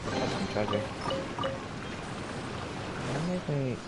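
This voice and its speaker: A man giving casual, low-key, halting commentary as he plays a video game. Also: lively intonation. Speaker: gamer. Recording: loud game noise behind the voice. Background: video game audio.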